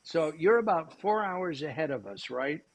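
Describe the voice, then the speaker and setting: A man's voice: a little high, very animated, moderate pace. A man hosting a cooking show, chatting casually with lots of fillers and restarts. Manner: rambling, many fillers and restarts.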